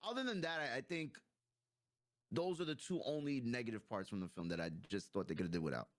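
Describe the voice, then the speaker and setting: A man in his thirties gives a casual movie review in a conversational voice. He talks like a friend, with relaxed slang and open enthusiasm for what he is discussing. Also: mid-range voice, fast, no echo, clean studio sound.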